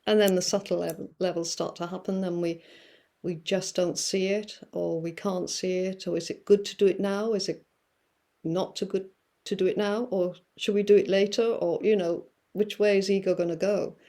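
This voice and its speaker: A woman guest musing through spiritual ideas, with many fillers and pauses. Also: medium pitch, fairly even intonation, mellow. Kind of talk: reflective spiritual talk.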